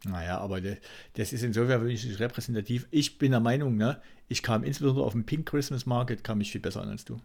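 A man from East Germany co-hosting a comedy podcast in casual banter with a deadpan delivery. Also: medium pitch, fast, gravelly, hardly any pauses.

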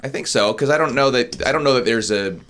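A man's voice: mid-range voice, quick pace, fairly bright tone. A man who is a regular on a comedy podcast, chatting in a laid-back, wry way. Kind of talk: casual comedic chat.

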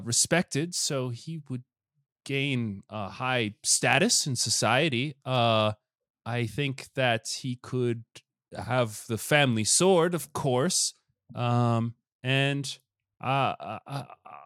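A man's voice: mid-range voice, no echo, studio quality. A man voicing a nervous, bookish young noble bachelor who stammers awkwardly in a dating show parody. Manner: stammering, hesitant.

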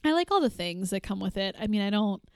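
A chatty woman co-hosting a podcast, laughing easily between sentences. Her voice sits in a middle pitch and is recorded close and dry, with no room sound. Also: sing-song, quick pace, smooth, dry recording. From Massachusetts, US. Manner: giggly, chatty, laughs often. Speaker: podcast co-host. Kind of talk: casual chat.

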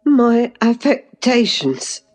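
Woman playing a high, pitiful frightened boy. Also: sing-song, slow pace, darkish tone, soft background music, heavily acted. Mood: self-pitying, wounded. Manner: whimpering, pleading, overwrought. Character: frightened, self-pitying sickly boy, cowardly and pleading.

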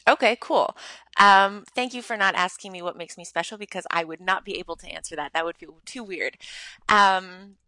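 A woman's voice: medium pitch, normal speed, sharp tone, dry recording, low-quality recording. A woman in her thirties from Montreal, a podcast guest talking frankly and reflectively about her life, with many ums and restarts. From Montreal, Canada. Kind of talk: candid personal storytelling.

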